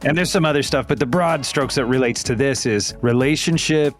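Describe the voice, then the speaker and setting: A middle-aged man hosts a podcast in a medium-pitched voice. His interviewing is conversational and affirming, with a little music underneath. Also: brisk. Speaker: podcast host.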